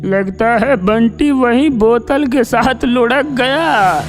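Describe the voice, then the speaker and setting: A performer playing a jumpy, teasing young male gang sidekick in an animated horror story. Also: mid-range voice, slow pace, faint music behind, lightly acted.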